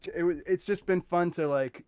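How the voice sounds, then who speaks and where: A man's voice: high-pitched, fairly quick, a little mellow, clear, resonant tone, no room sound. A man, a guest composer, chatting casually on a video call and often trailing off mid-sentence.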